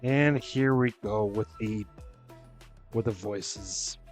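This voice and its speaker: A man hosts a video game playthrough in a casual way. His voice sits on the low side and he takes his time between phrases. Game music plays faintly behind him. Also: slow pace. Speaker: gamer, playthrough host. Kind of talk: casual game commentary, reading lines aloud.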